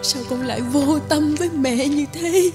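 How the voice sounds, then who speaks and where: A woman's voice: high voice, a little slow. A woman playing a weary, sick, doting village mother who pleads plaintively in a cartoon folktale. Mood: sorrowful. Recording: music under the voice.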